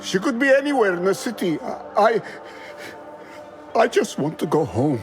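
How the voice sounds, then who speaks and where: A man playing a terrified scholar in a video game, pleading and halting as he speaks. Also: high voice, big pitch swings, soft background music. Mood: frightened confession. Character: terrified, pleading male scholar, captive code-breaker. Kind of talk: video game character acting.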